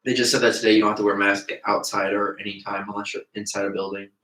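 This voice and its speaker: A man of about twenty, co-hosting a casual podcast chat, rambling and laughing often as he gets excited. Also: lowish voice, fast talker. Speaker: podcast co-host. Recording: roomy echo.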